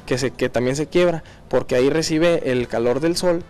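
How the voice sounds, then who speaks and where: A man with a Mexican accent, a paint technician explaining his work informally and a bit haltingly. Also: mid-range voice, a little mellow, soft background music. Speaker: auto body paint technician.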